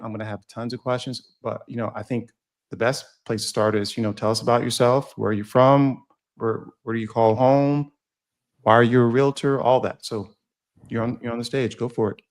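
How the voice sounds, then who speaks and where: A man's voice: mid-range voice, a little fast. A man hosts a casual podcast, sounding worn out. He speaks in a friendly, easygoing way with frequent fillers and restarts. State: tired. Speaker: podcast co-host.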